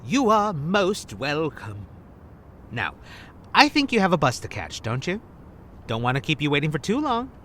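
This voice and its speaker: A man's voice: fairly high pitch, huge pitch swings, moderate pace, pauses often, sharp tone, a little hiss or hum, exaggerated voice. Man acting a cocky, swooping secret agent. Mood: teasing, confident. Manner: teasing, cocky. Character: smug, teasing male secret agent recruiting old partner. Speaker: voice actor. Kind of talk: anime-style audio drama acting.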